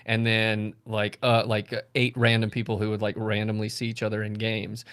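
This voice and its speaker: A man's voice: lowish voice, completely flat delivery, moderate pace, a bit bright, smooth, no room sound, studio quality. A man in his thirties hosting a stream and chatting casually about games. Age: 30s. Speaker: comedy stream host. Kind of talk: casual gaming chat.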